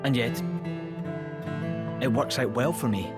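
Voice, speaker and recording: Guy as a composed young emperor in a game, formal n polite, medium pitch, loud music under him. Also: slow, close to an everyday voice. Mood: sincere. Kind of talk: video game cutscene acting.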